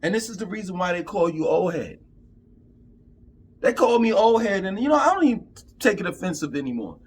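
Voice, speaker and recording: A man's voice: sits high, very animated, brisk, husky. A man in his fifties giving an emphatic, profane, preachy rant to camera in street talk. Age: 50s. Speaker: vlogger.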